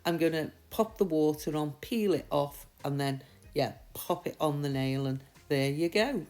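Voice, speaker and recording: A woman with a slightly croaky voice from a mild sore throat, giving a chatty, reassuring, step-by-step tutorial. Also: low voice, moderate pace, a little mellow. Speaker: nail art tutorial host. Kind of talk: casual step-by-step tutorial voice-over.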